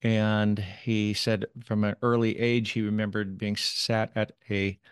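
A man answering interview questions about his late father, speaking in a measured, thoughtful way with occasional pauses. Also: on the low side, flat delivery, clear, resonant tone, no echo, studio quality. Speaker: interview guest, son of the subject.

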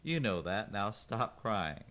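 A man's voice: on the low side, sing-song, slow, a bit bright, full character voice. A man voicing a middle-aged farm wife who is exasperated and sarcastic, in a read-aloud story. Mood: firm.

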